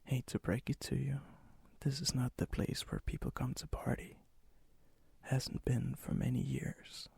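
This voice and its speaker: A man playing a charming, ancient vampire and hopeless romantic in a soft-spoken, often whispered ASMR roleplay, slow, intimate and courteous, with a breathy, husky voice. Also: mid-range voice, level tone, no echo, full character voice. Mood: seductive, musing. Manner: slow, intimate, teasing, old-fashioned courtesy. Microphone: close mic, dry sound.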